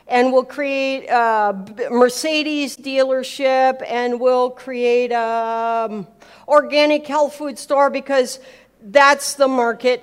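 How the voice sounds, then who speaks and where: A woman with a somewhat high voice gives an informal talk. She tells anecdotes as a teacher would, leaning hard on key words, repeating them, and slipping into quoted dialogue to make each point. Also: clean tone. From America and Switzerland. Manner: emphatic, repeats words for effect, acts out dialogue. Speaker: speaker giving a talk on faith and business.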